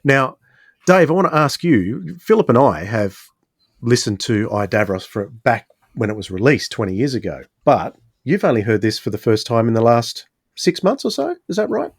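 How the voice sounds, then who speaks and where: Man, middle-aged, mid-pitched, casual podcast co-host, close dry sound. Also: very animated, moderate pace, husky, no room sound. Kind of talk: casual discussion and interviewing.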